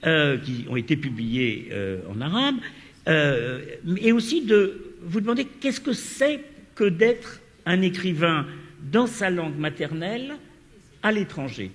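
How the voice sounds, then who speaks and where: Guy moderating a panel, slow, lots of ums, echoey hall. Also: a little high, expressive. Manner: hesitant, many fillers. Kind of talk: panel discussion questions. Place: lecture hall. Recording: reverberant lecture hall.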